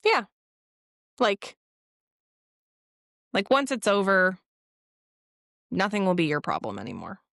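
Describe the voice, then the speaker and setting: A woman's voice: mid-range voice, takes it slowly, vocal fry, pauses often, dry recording, clean studio sound. Chatty young woman telling a story. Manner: animated storytelling with laughs and acted quotes. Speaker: podcast co-host. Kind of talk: casual chat.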